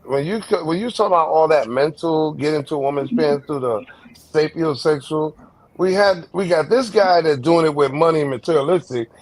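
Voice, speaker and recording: A man's voice: a little high, brisk, darkish tone, rough recording. A man co-hosting a podcast, making crude jokes as he chimes in. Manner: crude, joking. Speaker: podcast co-host. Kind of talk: casual podcast debate.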